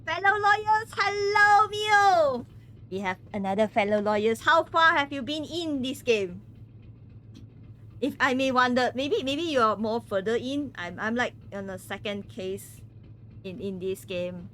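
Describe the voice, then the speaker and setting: A woman's voice: a little high, measured pace, pushed and bright. A woman from Malaysia, chatting casually with viewers on a game stream. She giggles often and stumbles over words.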